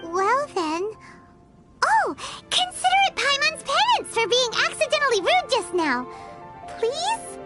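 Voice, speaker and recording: Casting: bubbly fairy sidekick for a game, childlike squeak, wildly animated pitch, music underneath. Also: female voice, a little slow, exaggerated voice. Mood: eager, pleading. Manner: speaks of herself by name, exclamatory, expressive. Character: tiny childlike girl fairy companion, bubbly and excitable. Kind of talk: video game voice acting.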